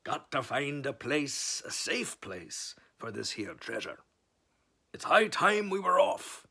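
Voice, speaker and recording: A man voicing a loud, boisterous pirate captain in a storybook read-aloud, with hearty bellowing pirate talk. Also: medium pitch, big pitch swings, slow, creaky, husky, voice pushed up, exaggerated voice. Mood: urgent.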